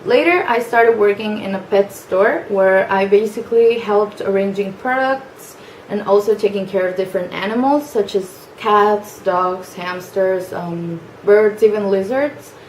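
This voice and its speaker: A nineteen-year-old woman with a Mexican accent introduces herself in English, her native language being Spanish. She talks softly and hesitantly, with many pauses and fillers. Her voice has a roomy echo over a faint hiss of background noise. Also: mid-range voice. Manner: soft-spoken, hesitant with frequent fillers. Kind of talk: casual self-introduction video. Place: family home.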